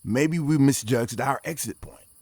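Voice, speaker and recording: A man playing a guarded, pragmatic mission leader in his forties in a naturalistic audio drama, speaking in a measured, reflective way. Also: mid-range voice, a little mellow, husky, no room sound. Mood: focused, decisive.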